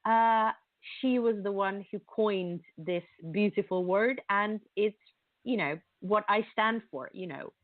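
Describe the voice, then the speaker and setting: A woman's voice: medium pitch, slow, no room sound. A woman from Slovenia with a Slovenian accent, a marketing coach guest explaining her ideas earnestly in long sentences full of fillers. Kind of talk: conversational interview. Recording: tinny phone-line sound, dry.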